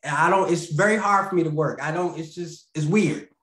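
A man in his thirties chatting as a podcast guest over a video call, rambling and laughing, often trailing off quietly. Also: on the high side, a little fast, reverberant. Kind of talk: casual interview chat over video call. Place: indoor home room with lamp. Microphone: video call, webcam.

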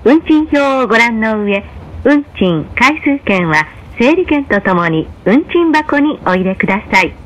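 Female announcer, slightly high voice, formal and measured, for scripted in-car train announcements. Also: sing-song, lo-fi sound.

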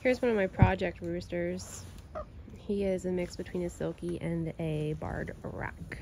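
A woman in her thirties giving a casual, explanatory homestead vlog, unhurried and pausing mid-sentence. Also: fairly low pitch, fairly even intonation, gravelly. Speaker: homesteading vlogger. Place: outdoors in a covered chicken run and coop. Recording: outdoor ambient noise.

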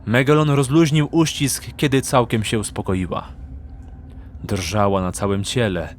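A man's voice: mid-range voice, fairly even intonation, a little slow, a little hiss or hum. A man narrating a fantasy audiobook in a dramatic, scripted storytelling style.

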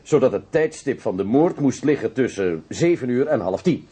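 An actor playing a cocky, jokey pupil detective in a radio drama. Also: male voice, mid-range voice, animated, normal speed, warm tone, breathy edge. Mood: cocky, amused.

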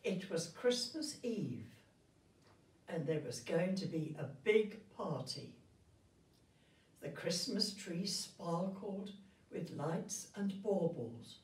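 An elderly woman reading a storybook aloud to children in a gentle, grandmotherly and expressive way. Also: on the low side, slow pace. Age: 70s or older. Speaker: retirement village resident reading a story. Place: indoor room with festive backdrop. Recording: roomy sound, distant microphone.

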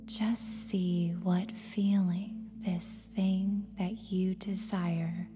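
A woman with a soft, breathy, near-whispered voice guides a meditation in a soothing, gentle way, leaving long pauses between phrases. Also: medium pitch, flat delivery. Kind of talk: guided meditation, scripted narration. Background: meditation music with singing bowl tones.

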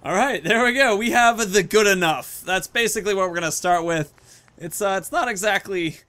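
A man's voice: high-pitched, fairly quick, bright, forceful tone. A man in his thirties giving casual, off-the-cuff commentary while playing a video game. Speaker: video game streamer, host.

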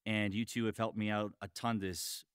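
A man hosting a casual podcast as a voice actor, chatty and excitable, with some swearing. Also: lowish voice, moderate pace, clear, resonant tone, no echo, studio quality.

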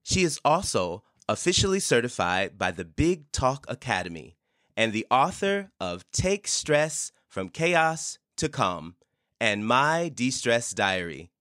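A man hosting an interview podcast, introducing a guest and asking warm follow-up questions over a remote call. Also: mid-range voice, slow pace, no room sound, clean studio sound. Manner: lively intonation, supportive listener. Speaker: podcast host.

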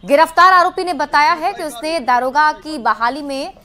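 A woman news anchor reading a bulletin of regional news in a brisk, formal headline style. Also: sits high, sharp tone. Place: television studio.

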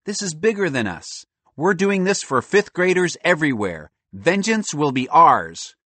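A man's voice: fairly high pitch, big pitch swings, unhurried, a bit bright, no echo, voice pushed up, exaggerated voice. A man voicing a determined, scheming fifth-grade boy in a children's storybook, earnest and bold. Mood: rallying.

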